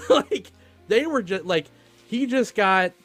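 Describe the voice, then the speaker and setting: A man with a fairly high voice hosts a casual film podcast. He talks informally, swearing freely, with animated delivery, and music plays behind him. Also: slow. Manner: animated, informal retelling with acted-out asides. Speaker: podcast host. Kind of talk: casual film discussion, profane.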